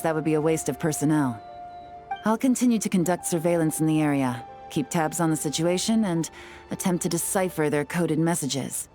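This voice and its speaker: A low, composed voice of a female secret agent played by a woman, calm and measured with quiet confidence. She gives plans without hurry. Faint game music sits underneath. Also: close to an everyday voice. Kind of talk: video game voice acting.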